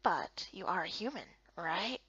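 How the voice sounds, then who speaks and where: Cool young guardsman played by a woman, hushed and teasing, visual novel role, slightly dull recording. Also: lowish voice, very animated, a little slow, slightly rough, dry recording, voice pushed down, exaggerated voice.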